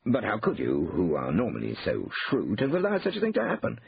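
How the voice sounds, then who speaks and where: A man's voice: fairly low pitch, wildly swooping pitch, brisk, vocal fry, slightly rough, dry recording, dull audio, pitched above the performer's own voice, full character voice. A man voicing an anxious, tight-fisted shopkeeper in an audiobook, flustered, incredulous and evasive.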